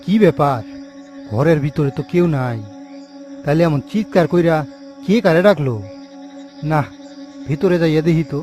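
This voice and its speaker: A man's voice: fairly high pitch, normal speed, long pauses, warm tone, soft background music, lightly acted. A man voicing a tipsy, uneasy morgue worker in a cartoon, muttering questions to himself. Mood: frightened, puzzled.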